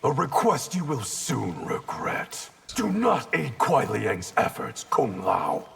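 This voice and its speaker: Very gravelly, menacing ninja villain. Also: male voice, medium pitch, sing-song, slow, fairly bright tone, soft background music, exaggerated voice. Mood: cold contempt. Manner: disdainful, threatening. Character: cold, proud, menacing male ninja clan villain. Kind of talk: video game fight banter. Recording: game audio with clear background noise.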